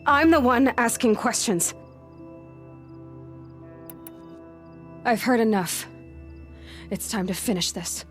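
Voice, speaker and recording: An actress playing a stern young hunter, guarded and commanding, with a direct, probing delivery for a video game. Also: female voice, a little high, animated, measured pace, faint music behind. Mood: stern, resolute. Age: young adult. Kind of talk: dramatic video game acting.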